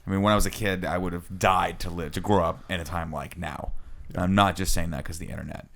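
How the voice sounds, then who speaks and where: Male podcast co-host, thirties, American, gravelly and quick, rambling explainer in casual panel chat. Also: low-pitched, fairly even intonation, fairly bright tone. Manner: earnest, rambling explainer who poses questions. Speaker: podcast co-host introducing his topic.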